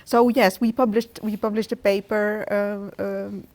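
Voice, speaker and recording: A woman's voice: mid-range voice, flat delivery, unhurried, a little mellow. Hesitant woman professor, thinking aloud. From Tunisia. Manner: thoughtful, hesitant with restarts. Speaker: guest professor. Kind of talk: academic interview answers.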